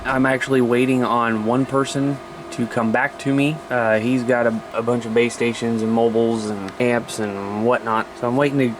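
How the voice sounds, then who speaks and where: Casual American male hobbyist host, mid-pitched, colloquial and unscripted, recorded over clear steady background noise. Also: moderate pace. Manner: colloquial, informal, thinking aloud. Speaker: hobbyist video host showing radios. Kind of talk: casual unscripted show-and-tell vlog.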